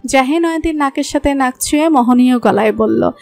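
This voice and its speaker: A woman narrating a romantic story in a smooth, even storytelling voice. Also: high-pitched, brisk. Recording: soft background music.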